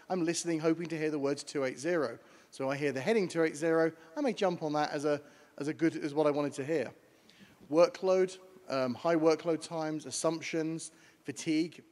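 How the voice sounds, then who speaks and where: A man with a British accent giving a brisk conference talk from slides, explaining to an audience. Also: on the high side, a little mellow. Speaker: panel speaker on air traffic communication. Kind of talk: conference presentation. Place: large conference hall. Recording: long hall reverb.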